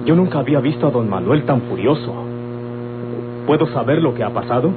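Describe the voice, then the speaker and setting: A man's voice: mid-range voice, lively intonation, a little fast, natural voice. An actor playing a suspicious, jealous man in an old Mexican radio drama, insistent and questioning, with a Mexican accent. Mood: suspicious, concerned. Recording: tinny, very noisy, humming old radio recording.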